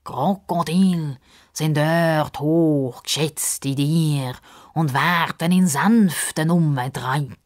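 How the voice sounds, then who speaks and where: A woman voicing a pompous, grumbly male crocodile who talks in a ponderous, drawn-out way in a children's story. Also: sits low. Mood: self-important. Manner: ponderous, drawn-out, self-important speech.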